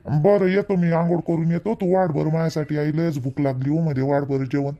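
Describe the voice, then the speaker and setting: A man's voice: fairly high pitch, fairly even intonation, brisk, highs cut off, natural voice. A man voicing a blunt, demanding young married son in an amateur village cartoon, with a Vidarbha Marathi accent. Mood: hungry, impatient.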